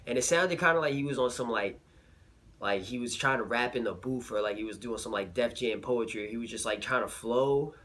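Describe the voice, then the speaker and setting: A slightly sick man recapping a battle rap event in a relaxed vlog, with lots of fillers and slang. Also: mid-range voice, a little fast. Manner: laid-back, filler-heavy slang. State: a little sick.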